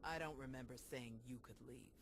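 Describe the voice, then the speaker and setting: An actress playing an earnest, blunt colony fighter in a sci-fi video game, direct and plain-spoken. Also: female voice, very deep voice, a little fast, a little hiss or hum. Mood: firm. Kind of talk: video game cutscene acting.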